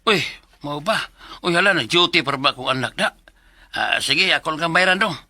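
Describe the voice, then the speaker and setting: A man's voice: a little high, lively intonation, fairly quick, pushed and bright, no room sound, clean studio sound, exaggerated voice. An actor with a Cebuano Filipino accent playing a middle-aged, agreeable father in a radio drama. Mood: puzzled, agreeable. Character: older father of a customer, surprised but agreeable. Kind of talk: Bisaya radio drama acting.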